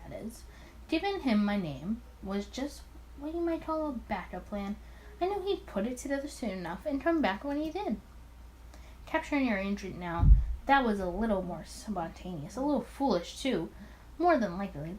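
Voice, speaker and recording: A woman's voice: a little high, sing-song, normal speed, darkish tone, slight background noise, rough recording. A woman voicing an arrogant, charming male mafia boss from the 1920s in a visual novel. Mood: smug, explaining.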